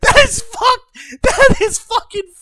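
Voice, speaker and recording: A man streaming, giving crude, casual reaction commentary, swearing constantly and breaking into loud laughter and shouts. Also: very high-pitched, fairly even intonation, slow pace, dry recording.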